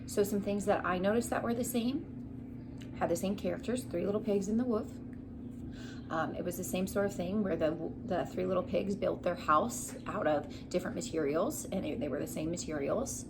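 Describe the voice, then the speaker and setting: A woman's voice: fairly low pitch, moderate pace, gravelly, background noise. A young adult woman speaking as a teacher, giving an instructional story lesson for children and prompting listeners with questions. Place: small living room indoors. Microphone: camera a short distance away.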